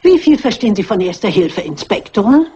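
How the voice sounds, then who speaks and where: An elderly amateur lady detective in an old mystery film. Her voice is very husky, her manner brisk, polite and determined. The soundtrack is slightly dull and muffled. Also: medium pitch, big pitch swings, dark tone. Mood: confident, scheming. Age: older. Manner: brisk, polite, self-assured. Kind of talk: dubbed classic mystery film acting.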